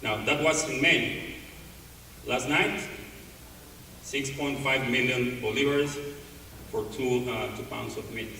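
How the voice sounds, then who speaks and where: A man's voice: medium pitch, fairly even intonation, slow, pauses often, bright, forceful tone. A man giving a conference talk, full of fillers and restarts. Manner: hesitant, many fillers and restarts. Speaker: conference speaker. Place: large conference hall. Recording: echoey hall, distant mic, faint noise.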